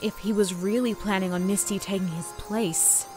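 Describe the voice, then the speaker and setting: A woman voicing a wise divine pony sister who explains things in a measured way, in a fan audio drama. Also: mid-range voice, fairly even intonation, over music, close to an everyday voice. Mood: grave. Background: musical score.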